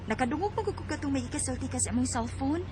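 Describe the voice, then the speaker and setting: A young Filipino woman with a very high, girlish pitch. She talks in a friendly, easygoing way in a radio drama, with clear noise in the recording. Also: animated, fast talker. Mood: amused, friendly.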